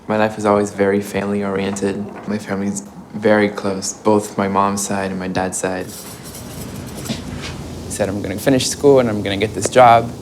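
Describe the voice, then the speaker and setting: A man's voice: lowish voice, little pitch movement, normal speed, background noise. A man in his twenties from Brazil, talking candidly and laughing in a documentary interview. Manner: candid, laughing, chatty with fillers. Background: soft music score.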